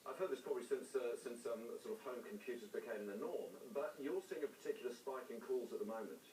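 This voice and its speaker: A man's voice: medium pitch, fast, mellow, echoey room, distant mic, slight background noise, poor audio. A man with a New Zealand accent interviewing in an informal, jokey way as a breakfast television host. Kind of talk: conversational broadcast interview.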